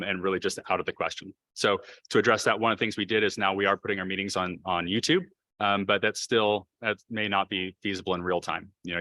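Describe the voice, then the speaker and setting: A man leading a school board meeting, speaking in a measured, questioning way with many fillers. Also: sits low, pushed and bright, no echo. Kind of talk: formal meeting discussion.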